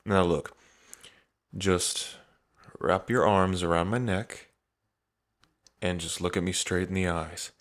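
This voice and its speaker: A man's voice: low-pitched, steady tone, slow, long pauses. A man playing a cocky yet tender young dragon king in his twenties, speaking casually and hesitantly to the listener in an intimate ASMR roleplay. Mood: coaxing, reassuring. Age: 20s. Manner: casual, hesitant, trailing phrasing.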